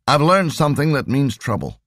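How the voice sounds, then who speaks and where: A man voicing an excitable, worried male computer engineer in an audiobook, talking urgently and conversationally. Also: mid-range voice, a little fast, no echo, clean studio sound, pitched above the performer's own voice.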